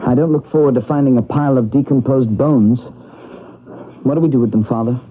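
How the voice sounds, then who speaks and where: Actor as an earnest, hushed young nephew, old radio play. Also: male voice, mid-range voice, brisk, breathy edge, lightly acted. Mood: uneasy. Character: earnest young man facing his uncle's ghost. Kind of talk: 1970s radio drama acting. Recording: tinny old radio broadcast with music.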